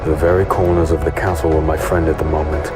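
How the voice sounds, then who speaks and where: An actor playing a wary hooded rogue, narrating in the first person in a fantasy audio drama, low-key and brooding. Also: male voice, level tone, fast. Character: wary, hooded male rogue narrating his own infiltration. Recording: loud music bed behind voice.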